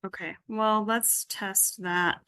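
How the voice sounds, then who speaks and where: A woman's voice: medium pitch, fairly even intonation, slow pace, bright, forceful tone, clear, resonant tone. A woman giving an informal live coding demonstration as instructor, tentatively thinking aloud as she works. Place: indoor group workshop session.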